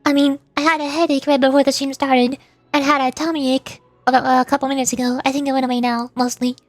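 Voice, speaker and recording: High-pitched bubbly woman streamer. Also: flat delivery, quick pace, no room sound, soft background music. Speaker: livestreamer, VTuber. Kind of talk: casual livestream chat over a game.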